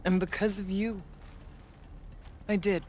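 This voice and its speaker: Solemn shaman huntress lady played by an actress, formal measured game drama, bit of background noise. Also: medium pitch, flat delivery, darkish tone, lightly acted. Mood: somber. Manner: measured, formal storytelling phrasing. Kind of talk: dramatic video game cutscene acting.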